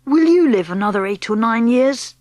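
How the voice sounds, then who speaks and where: A woman voicing a young boy who has been turned into a mouse, eager and anxious, pleading and excitable. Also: on the high side, moderate pace, dry recording, highs cut off, lightly acted.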